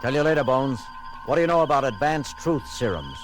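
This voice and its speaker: Commanding starship captain played by an actor, music behind. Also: male voice, mid-range voice, very animated, a little fast, close to an everyday voice, poor audio. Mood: firm, commanding. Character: commanding male starship captain, firm, dryly wry. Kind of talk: science-fiction audio drama acting. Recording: loud music bed under dialogue.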